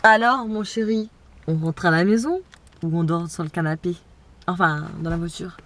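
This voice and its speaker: A woman's voice: lowish voice, fairly even intonation, normal speed. A young woman, tipsy and giggly, chatting playfully in a car at night. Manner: giggly, playful, repeats words. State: drunk. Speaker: vlogger. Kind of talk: tipsy casual chat.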